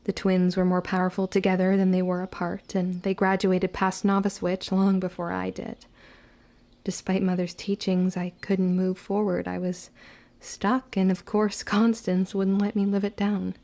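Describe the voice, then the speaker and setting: A woman's voice: mid-range voice, monotone, moderate pace, darkish tone, close to an everyday voice. A woman playing a guarded young witch who narrates her story with formal, old-fashioned phrasing in an audio drama. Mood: brooding, reflective. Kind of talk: scripted first-person audio drama narration.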